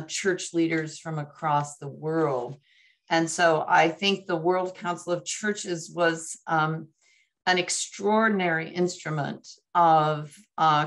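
Slow older woman with a cold. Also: fairly low pitch, fairly bright tone. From United States. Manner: slow, reflective, many ums and uhs. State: recovering from a cold. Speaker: former commission moderator. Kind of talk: webinar panel answer. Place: home living room. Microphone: video call.

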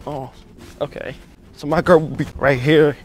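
Drowsy young man, high voice. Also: fairly even intonation, normal speed. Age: young adult. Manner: drowsy, mumbling, slangy. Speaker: prank video creator. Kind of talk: casual prank banter. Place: mattress store, indoors.

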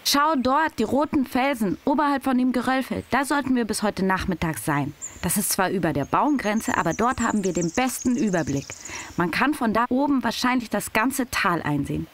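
An actress playing a sensible young female hiker, brisk, bossy and teasing, in an audio drama. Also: on the high side, animated, close to an everyday voice. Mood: matter-of-fact.

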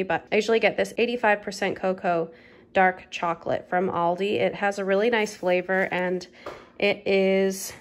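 A woman in her thirties vlogging a grocery haul in a casual, chatty way, with plenty of ums and uhs, from her home kitchen table. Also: medium pitch. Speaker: lifestyle vlogger.

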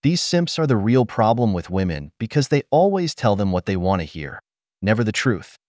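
A man's voice: fairly low pitch, very animated, normal speed, background noise. A man reading scripted voice-over commentary in a lecturing, opinionated manner. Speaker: commentary narrator.

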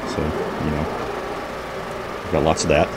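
A man with an exceptionally deep voice hosts a casual walk-through vlog. He talks informally and off the cuff, pointing things out as he goes. The recording is made outdoors, with clear background noise around his voice. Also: flat delivery, unhurried. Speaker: host of a homesteading vlog. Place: outdoors in a backyard garden.

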